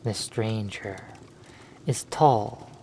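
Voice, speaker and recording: Really slow hypnosis roleplay narrator, medium pitch, long pauses between phrases, bit of background noise. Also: mellow. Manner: measured, halting delivery in short phrases with long pauses. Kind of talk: slow second-person hypnosis story narration.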